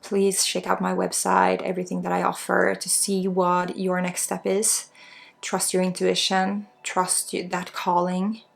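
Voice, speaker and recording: A woman with a Swedish accent guides an ASMR energy healing, soft-spoken and reassuring as a spiritual guide. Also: on the low side, monotone, a little slow, gravelly. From Sweden. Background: music.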